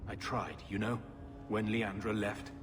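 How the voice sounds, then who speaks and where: Actor as a grumbling, shifty uncle, echoing hall. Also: male voice, on the low side, fairly even intonation, unhurried, over music, natural voice. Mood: bitter. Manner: grumbling, hedging, self-pitying. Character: shifty, bitter middle-aged uncle down on his luck. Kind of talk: video game drama acting.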